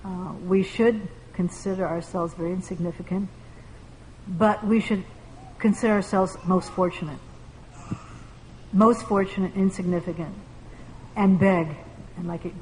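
Woman discussion leader, low-ish voice, halting earnest delivery, roomy hall sound with faint hiss. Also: a little mellow. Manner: earnest, halting, many fillers. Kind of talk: devotional group discussion. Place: large room with a group.

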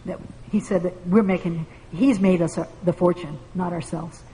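Woman discussion leader, low-ish voice, halting earnest delivery, roomy hall sound with faint hiss. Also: fairly even intonation, warm tone. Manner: earnest, halting, many fillers. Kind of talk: devotional group discussion. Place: large room with a group.

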